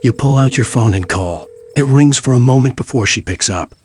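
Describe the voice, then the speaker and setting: A man's voice: mid-range voice, normal speed, darkish tone, faint music behind. A man reading scripted second-person story narration for a game.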